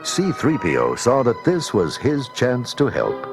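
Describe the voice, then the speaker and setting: A man's voice: medium pitch, huge pitch swings, a little slow, over music. A man narrating a scripted children's read-along story.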